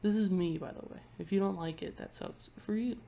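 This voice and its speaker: Stuffy-nosed girl rambling into her webcam, kinda gravelly and mellow, keeps restarting her sentences. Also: on the low side, a little fast. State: congested. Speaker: vlogger. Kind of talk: casual chat ranking things on a tier list.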